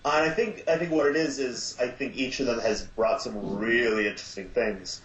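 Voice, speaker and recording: A man's voice: medium pitch, fairly even intonation, moderate pace. A man chatting as a podcast guest, weighing his words thoughtfully as he talks. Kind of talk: casual chat. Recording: roomy, dull sound with faint hiss.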